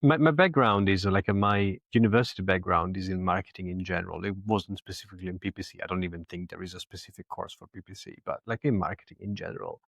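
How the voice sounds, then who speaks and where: A man in his thirties from Italy, speaking English with an Italian accent touched by British, talking haltingly with many restarts as a podcast guest. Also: low-pitched, gravelly, a bit bright, no room sound, studio quality. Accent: Italian with British influence. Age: 30s. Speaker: podcast guest, agency COO. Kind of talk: casual interview talk.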